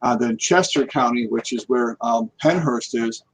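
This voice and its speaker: A man's voice: mid-range voice, moderate pace, slightly rough, poor audio. A man in casual conversation as a guest paranormal investigator on a live talk show, rambling and repeating words as he goes.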